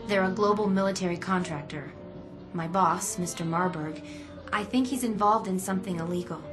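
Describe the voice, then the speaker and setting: An actress playing an earnest, anxious young office employee turned informant in a video game drama, polite and hesitant. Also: female voice, lowish voice, moderate pace, lightly acted. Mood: earnest, uneasy. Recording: music playing behind the voice.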